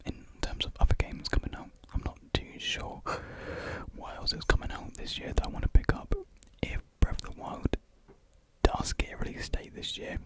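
A young man speaks in a breathy, hushed whisper, chatting casually and informally as an ASMR host close to a desktop microphone. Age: young adult. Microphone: desktop USB microphone up close.

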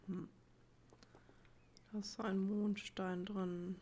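A woman's voice: mid-range voice, flat delivery, drawn-out words, mellow, a little hiss or hum. A woman giving casual gameplay commentary, musing to herself in an informal way. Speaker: let's player. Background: game music.

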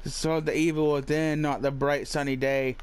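A man's voice: a little high, level tone, moderate pace, smooth. A man giving casual, wry, offhand commentary while playing a video game. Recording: game sound behind.

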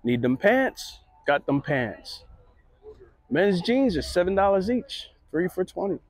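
A middle-aged man strolls an outdoor market, chatting easily as he points things out. His voice sits a little high, and the phone mic catches it dry and close, with no room around it. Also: very animated, slow pace, no room sound. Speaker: vlogger. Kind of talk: casual walking commentary. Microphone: handheld phone camera.

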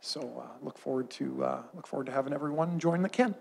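A man giving a formal report at a school board meeting, measured and full of uh fillers, on a desk microphone in a boardroom. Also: medium pitch, gravelly, a little mellow. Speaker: school superintendent. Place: boardroom at a long table.

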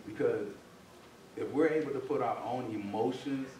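A man's voice: medium pitch, moderate pace, dark tone. A man giving a reflective comment in a Bible class, often checking that listeners follow with small tag phrases. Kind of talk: reflective group discussion comment. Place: church meeting room, far camera. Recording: distant mic, very echoey, noisy room.

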